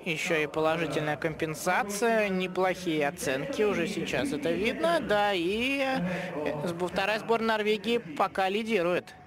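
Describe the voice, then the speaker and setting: A man giving live sports commentary in a relaxed, conversational way, often pausing to think aloud. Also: high voice, a loud crowd.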